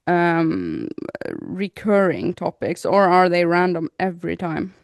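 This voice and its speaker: A woman's voice: on the low side, very animated, slow, gravelly, no echo, studio quality. Chatty woman co-host asking rambling questions. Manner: rambling questions with many restarts and laughs. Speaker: podcast co-host. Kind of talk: casual chat.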